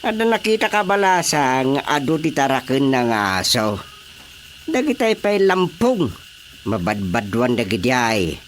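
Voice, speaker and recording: An older Ilocano father in a radio comedy, chatty and grumbling. His pitch swings widely as he tells mocking anecdotes. There is faint noise with crickets behind him. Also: big pitch swings, a little slow. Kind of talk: radio comedy drama acting.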